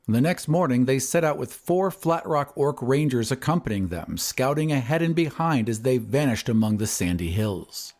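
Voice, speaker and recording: A man's voice: medium pitch, animated, normal speed, hardly any pauses, a little mellow. A man narrating a fantasy recap in a scripted, dramatic storytelling style.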